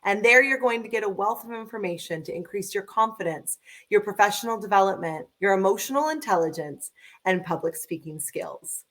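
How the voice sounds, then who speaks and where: A woman's voice: medium pitch, animated, a little fast, a bit bright. A woman hosting a podcast, introducing her guest with effusive enthusiasm. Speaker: podcast host. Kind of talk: upbeat interview hosting.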